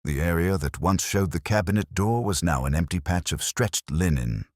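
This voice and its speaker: Very deep-voiced man narrating a mystery. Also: animated, darkish tone, no room sound, clean studio sound. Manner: measured, literary storytelling. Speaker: audiobook narrator. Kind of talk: scripted mystery story narration.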